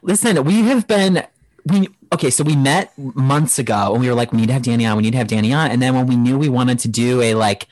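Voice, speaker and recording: Podcast co-host, excitable, gossipy, teasing, mid pitch, dry sound. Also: quick pace, no echo. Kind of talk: casual podcast chat.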